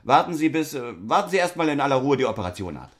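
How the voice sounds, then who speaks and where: A man's voice: mid-range voice, big pitch swings, fast talker, lightly acted. An actor playing an awkward, evasive, polite married man in a theatrical audio drama, speaking in a hesitant, placating way. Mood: awkwardly placating.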